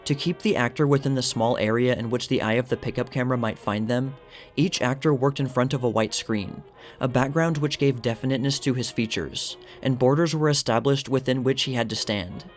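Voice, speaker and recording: Male narrator, medium pitch, for measured, formal scripted reading of old news copy over a music bed.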